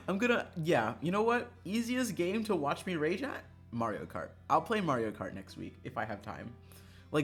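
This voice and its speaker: Excitable sweary streamer chatting over a game, voice jumps all over, bit of music behind. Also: medium pitch. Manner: excitable, mock-angry outbursts between calm asides. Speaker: livestreamer. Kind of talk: casual chat while playing a visual novel. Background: background music.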